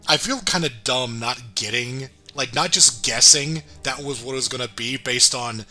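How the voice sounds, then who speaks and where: A man of average pitch with a strikingly bright, forward voice chats through a review. He sounds agreeable and thinks aloud haltingly as a co-host, over faint background music. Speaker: co-host of a cartoon review show. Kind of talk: casual conversational review.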